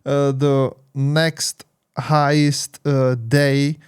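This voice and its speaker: A man's voice: mid-range voice, flat delivery, very slow, clean tone. A young man with a Czech accent, a native Czech speaker, hosting casual tech news commentary with frequent fillers and restarts. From Czech Republic. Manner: hesitant, many fillers and restarts.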